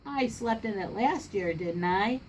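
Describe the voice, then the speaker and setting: Storybook role: anxious young boy, pleading and defensive, pitched up a little played by a woman, with background noise. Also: animated, unhurried, a little mellow, close to an everyday voice.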